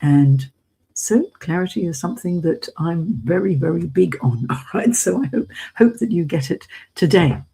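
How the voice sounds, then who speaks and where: British woman career coach chatting on a livestream, dark mellow voice, kinda husky, encouraging. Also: fairly low pitch, lively intonation, normal speed, poor audio. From Hong Kong, as a British expatriate. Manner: encouraging, addresses listeners directly. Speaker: career coach and live session host. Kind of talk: informal live-stream coaching talk to an audience.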